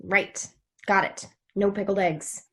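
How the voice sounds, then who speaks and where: A woman's voice: medium pitch, steady tone, slow, sharp tone. A woman reading the part of a guarded, hot-tempered woman in a TV drama table read, blunt and casual. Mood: guarded, reluctant.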